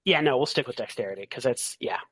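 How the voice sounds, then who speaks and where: A man running a tabletop roleplaying game, narrating haltingly and thinking aloud as he goes. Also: mid-range voice, lively intonation, a bit bright, dry recording, clean studio sound. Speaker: game master.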